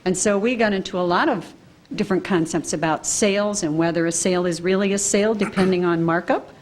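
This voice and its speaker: A woman's voice: on the low side, a little fast, a little hiss or hum. A woman presenting to a city council in an informal, upbeat, explanatory way, describing a daily program. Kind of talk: public presentation at council meeting. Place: council meeting room.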